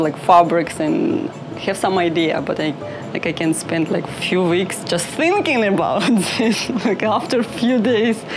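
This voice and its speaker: Ukrainian-accented woman, low creaky voice. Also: rough recording. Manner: halting non-native English with many "like" fillers. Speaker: fashion designer. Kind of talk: interview talk.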